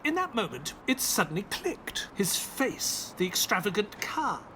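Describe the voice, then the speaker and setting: A man playing a polite, inquisitive English doctor in an audio drama, expressive and well-mannered. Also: high-pitched, a little slow, slight background noise, full character voice. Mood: reflective, storytelling. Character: polite, inquisitive English doctor narrating his detective adventures. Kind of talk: scripted first-person audio drama narration.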